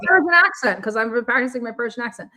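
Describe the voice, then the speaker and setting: A woman's voice: fairly high pitch, animated, quick pace, pushed and bright. A woman co-hosting a casual political talk show, bubbly and enthusiastic, often laughing. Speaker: co-host.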